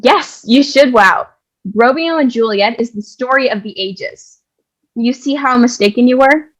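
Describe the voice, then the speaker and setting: A woman's voice: fairly high pitch, unhurried, poor audio. A woman playing an eccentric, self-assured cleaning lady who tells tales theatrically and emphatically in a staged comedy reading. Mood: gushing, triumphant. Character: chatty, opinionated cleaning lady Sister Lawrence, eccentric storyteller.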